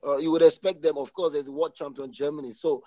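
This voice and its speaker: A man debating football on a casual sports podcast, halting and restarting his phrases. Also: a little high, fairly even intonation, dark tone. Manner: halting, restarts words, frequent agreeing "exactly". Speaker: podcast panelist.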